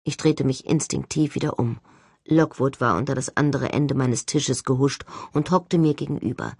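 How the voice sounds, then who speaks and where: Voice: mid-range voice, steady tone, moderate pace, darkish tone, breathy edge, dry recording, clean studio sound. An audiobook narrator reading a first-person story expressively from a script.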